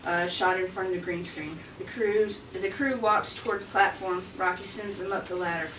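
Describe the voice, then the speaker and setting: A woman narrates scene directions from a screenplay at a table read. Her pitch is average. She sounds some distance from the mic in a noisy, echoing room. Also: steady tone, moderate pace, fairly bright tone. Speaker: stage-direction reader. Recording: echoey room, distant mic, clear noise.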